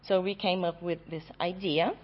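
A young adult woman, a graduate student, giving an academic conference presentation in a loud voice in a lecture room. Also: lowish voice, fairly even intonation, normal speed. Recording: dull lo-fi recording.

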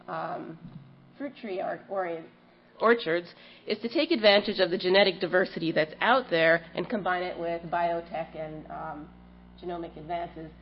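A woman in her thirties with a cold giving an academic research seminar, explaining her work with frequent ums. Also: fairly low pitch. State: has a cold, slightly stuffy. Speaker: plant scientist giving a research talk. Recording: dull lo-fi lecture audio with faint hum.

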